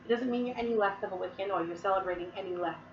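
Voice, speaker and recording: A woman's voice: mid-range voice, racing, distant mic. A chatty woman with a cold talks through lines of verse one by one, rambling and circling back with lots of fillers. The sound is dull and echoey, with a faint hum of room noise behind her. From Massachusetts. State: sick, sniffling and coughing. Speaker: Wiccan teacher. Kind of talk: casual explanatory talk to camera.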